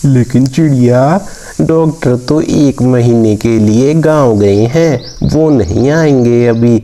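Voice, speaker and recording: Man as friendly-neighbour cartoon pigeon, concerned, plain-spoken, soft tone, unhurried delivery. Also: mid-range voice, natural voice. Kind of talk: Hindi moral-story cartoon dialogue.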